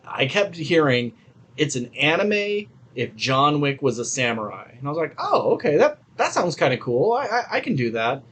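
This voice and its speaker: A man's voice: on the high side, big pitch swings, normal speed, a bit bright. A man co-hosting a podcast in casual review chat, talking in an opinionated, profane way. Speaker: podcast co-host.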